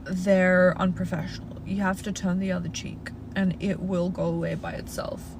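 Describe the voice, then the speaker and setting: A woman's voice: lowish voice, flat delivery, moderate pace, gravelly, background noise. A young Australian woman with Russian as her first language, casually answering viewer questions on a livestream with lots of "like" fillers. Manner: chatty, frequent "like" fillers, reassuring advice-giver. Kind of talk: casual live Q&A. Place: inside a parked car.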